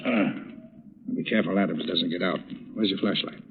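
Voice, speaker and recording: An actor playing a cold, scornful criminal schemer, bossy and articulate, in an old-time radio drama. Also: male voice, low voice, normal speed, gravelly, husky, heavily acted. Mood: wary. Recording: dull, muffled old radio recording.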